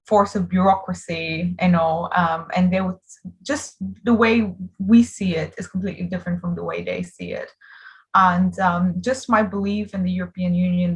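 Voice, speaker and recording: Woman, late 20s. Slightly low register, earnest and emphatic interviewee speaking conversationally. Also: low-quality recording. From Bulgaria. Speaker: interviewee, political activist.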